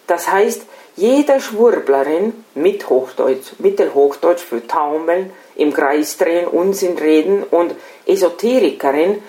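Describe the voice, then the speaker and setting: A middle-aged woman from Estonia with an Estonian accent, a non-native speaker reading a scripted lecture in a formal, deliberate way. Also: lowish voice, animated, dark tone. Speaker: lecturer, special-needs educator. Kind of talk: scripted lecture read aloud. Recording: echoey room sound.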